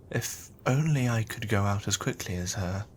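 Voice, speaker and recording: Man acting a slow, gentle young man. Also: fairly low pitch, slight background noise. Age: young adult. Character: gentle, poor young man with bad leg, loyal friend. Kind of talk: audio drama acting.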